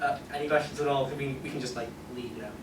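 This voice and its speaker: A man's voice: medium pitch, fairly even intonation, fast talker. A man chatting casually and jokingly as he presents at a convention panel. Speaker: panelist and game creator. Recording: echoey convention room, distant mic, noisy.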